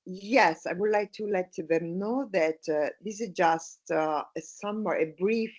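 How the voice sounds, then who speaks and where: A woman from Italy, a native Italian speaker with an Italian accent, speaking English as a guest in a casual interview, halting, with many fillers and restarts. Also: fairly low pitch.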